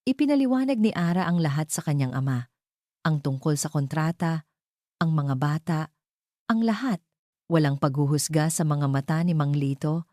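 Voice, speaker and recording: A woman's voice: deep voice, warm tone, dry recording, clean studio sound. A woman narrating a scripted romance story in a dramatic, sentimental way.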